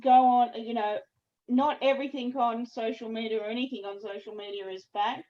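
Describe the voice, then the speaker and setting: A woman in her fifties, a lawyer, summing up a panel briskly and directly from her home office on a video call. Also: a little high, steady tone, highs cut off. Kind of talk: online panel summary.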